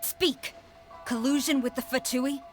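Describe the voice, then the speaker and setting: A woman's voice: sits high, fairly even intonation, a little slow. A woman playing a stern, dutiful military commander in a video game, formal and clipped in her speech. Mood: stern, commanding. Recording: game music behind.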